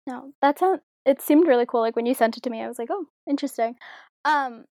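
A woman's voice: sits high, quick pace, a little mellow, dry recording, studio quality. A young woman answering interview questions in a casual, reflective way, with many fillers and hedges. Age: young adult.

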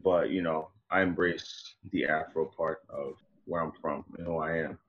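A man's voice: very deep voice, fairly even intonation, normal speed, dull audio. A man, a hip-hop artist, chatting casually as a podcast guest in a laid-back, slangy way. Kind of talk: casual interview chat.

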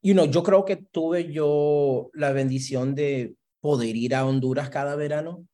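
A man's voice: on the high side, a little slow, warm tone. A man from Brooklyn with a Puerto Rican-influenced Spanish accent, speaking as an academic guest in an interview. He answers reflectively, slipping English words into his Spanish and ending phrases with "¿verdad?". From Brooklyn, New York. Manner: mixes English into Spanish, frequent "¿verdad?" tags.

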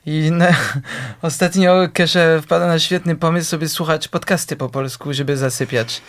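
Guest, male, Polish speaker, hesitant, fills pauses a lot, smooth clear voice, a little high. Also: steady tone. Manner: hesitant, searching for Polish words, many fillers. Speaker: actor, guest. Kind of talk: casual interview chat.

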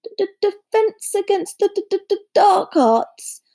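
A woman's voice: very high voice, big pitch swings, unhurried, creaky, warm tone, soft background music, pitched above the performer's own voice, exaggerated voice. A woman voicing a nervous young male professor with a heavy stutter and a trembling, gasping delivery in an audiobook. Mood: nervous, flustered.

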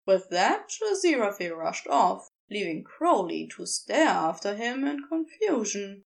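A woman's voice: medium pitch, very animated, unhurried, gravelly. A woman reading a scripted piece of fiction as its narrator.

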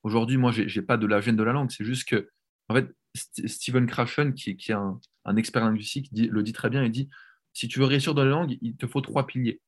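A man talking as a guest on a podcast, animated and informal, often restarting his phrases. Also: medium pitch, brisk. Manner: animated, frequent restarts and "tu vois". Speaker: language coach, podcast guest. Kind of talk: casual podcast conversation.

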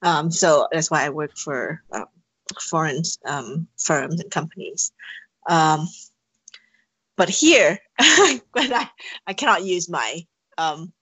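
A woman's voice: low-pitched, animated, slow pace, fairly bright tone, breathy edge, dry recording, low-quality recording. A woman from Thailand, speaking English with a Thai accent, answering interview questions in a hesitant way with many fillers and restarts. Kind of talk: casual interview conversation.

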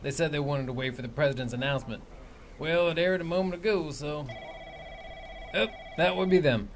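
An actor playing a brisk, businesslike male army major. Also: fairly high pitch, slight background noise, close to an everyday voice. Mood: firm. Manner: curt, businesslike. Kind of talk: audio drama acting.

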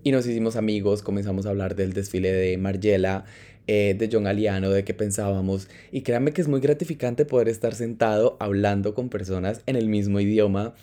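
A man hosting a solo podcast, telling his own story in an animated way and dropping in English phrases; his first language is Spanish. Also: lowish voice, brisk. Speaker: podcast host. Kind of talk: casual solo podcast monologue.

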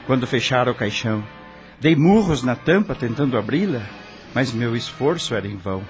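A male actor plays a dead rock star telling his own story in a Brazilian radio drama. The voice is mid-pitched, solemn and theatrical, heavy with torment and regret. Faint music plays underneath. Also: animated, normal speed, natural voice. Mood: anguished.

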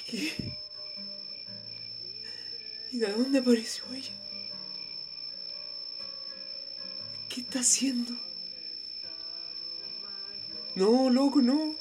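A woman's voice: high-pitched, a little slow, loud music behind the voice. A woman with a Chilean accent acting an urgent, pleading, frightened young friend in a radio drama. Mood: hushed dread. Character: frightened young friend who saw the devil.

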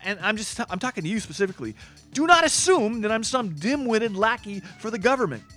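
An actor as an irreverent male host of a comedy radio show. His voice sits high for a man. He rambles haltingly and sounds defensive and exasperated, with faint music around him. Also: sing-song, pushed and bright, exaggerated voice. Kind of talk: comic radio banter.

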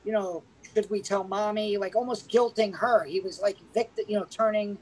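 A man's voice: high-pitched, steady tone, normal speed, darkish tone, slight background noise, poor audio. A man co-hosting a casual movie review podcast, talking in a rambling way with lots of restarts. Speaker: podcast co-host.

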